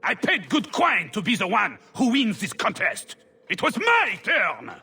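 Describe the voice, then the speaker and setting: Shrill, blustering pompous baron. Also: male voice, huge pitch swings, unhurried, sharp tone, husky, full character voice. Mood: haughty, outraged. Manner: indignant, haughty, blustering. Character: pompous, hot-tempered, insulting Orlesian baron. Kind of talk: video game cutscene acting.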